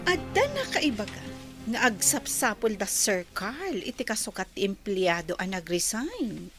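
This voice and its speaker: A woman's voice: mid-range voice, sing-song, a little slow, fairly bright tone, faint music behind. A woman acting a gossiping, exclamatory office employee in a Filipino radio drama, with an Ilocano accent. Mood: gossipy.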